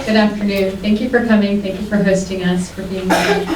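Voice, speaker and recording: A woman's voice: medium pitch, fairly even intonation, fairly quick, noisy background. A woman whose first language is Greek, giving a personal opening statement as a candidate at a public debate, with frequent "um" fillers. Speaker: city commission candidate. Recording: echoey room, distant mic.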